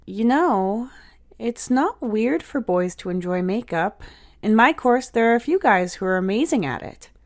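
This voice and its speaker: A woman's voice: on the high side, lively intonation, a little slow, voice pushed up. A woman voicing a girlish, mischievous big sister in a storybook, teasing and encouraging with a casual manner. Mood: supportive.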